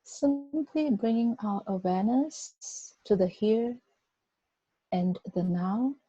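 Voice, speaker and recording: Very dark-voiced, slow woman guiding meditation. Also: fairly high pitch, expressive, slightly rough, no echo. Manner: gentle, unhurried instruction with long pauses. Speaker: meditation guide. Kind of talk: guided body scan meditation. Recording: dull, muffled lo-fi recording.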